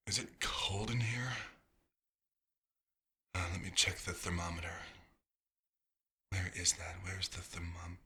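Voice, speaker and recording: A man playing a nostalgic, fastidious vampire doctor in an ASMR roleplay, murmuring to himself in an unhurried, gently wistful way. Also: deep voice, full character voice. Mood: uneasy, displeased.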